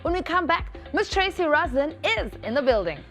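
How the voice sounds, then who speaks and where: A South African woman with a slightly high voice presents a studio interview show. She talks informally and expressively, like someone addressing young viewers, over clearly audible music. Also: big pitch swings, brisk, a bit bright. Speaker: TV show host. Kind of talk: upbeat youth TV interview.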